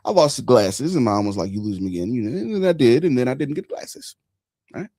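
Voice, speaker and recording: A man of forty hosting a casual political chat, telling stories animatedly, swinging between loud and hushed. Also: mid-range voice, sing-song, a little fast. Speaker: podcast host.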